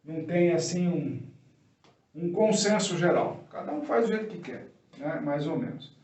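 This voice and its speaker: High-voiced Brazilian man preaching, echoey room. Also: expressive, unhurried, a little mellow. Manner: didactic, colloquial, posing questions and answering them. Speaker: preacher giving an opening teaching. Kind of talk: informal extemporaneous sermon. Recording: roomy, echoey, distant microphone.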